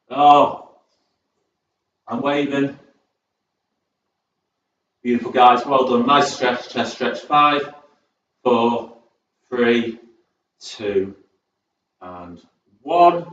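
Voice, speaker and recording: A man's voice: mid-range voice, very animated, extremely slow, long pauses, a bit bright, slight background noise. Northern english guy running a chair exercise class, chatty and upbeat, far from the mic in a big echoey room. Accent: northern English, Southport. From England, born in Birmingham, raised in Southport. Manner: chatty, upbeat, rambles between cues, counts down reps. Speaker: fitness instructor. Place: large echoey room at a community sports centre. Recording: very echoey, distant and dull.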